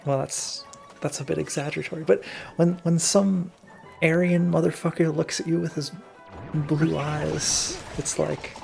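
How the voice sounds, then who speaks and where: A man's voice: fairly high pitch, steady tone, unhurried, gravelly, darkish tone. A man commentating casually on the game he plays, sarcastic and joking, with some swearing. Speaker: player and co-commentator on a gameplay video. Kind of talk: casual gaming commentary chat. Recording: video game music behind.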